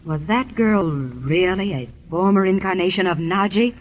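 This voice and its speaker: A woman's voice: fairly low pitch, moderate pace, close to an everyday voice. A woman acting a curious, determined married heroine in a vintage radio drama, with an eager, emphatic delivery. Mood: uneasy, questioning. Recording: muffled, noisy old radio broadcast.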